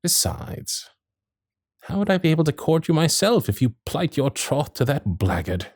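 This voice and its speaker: A man playing a courteous, protective Victorian gentleman suitor in a romantic period ASMR roleplay, with formal, old-fashioned phrasing and dramatic pauses. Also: fairly high pitch, big pitch swings, dry recording, clean studio sound. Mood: earnest, indignant. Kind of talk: romantic period ASMR roleplay, intimate one-sided dialogue.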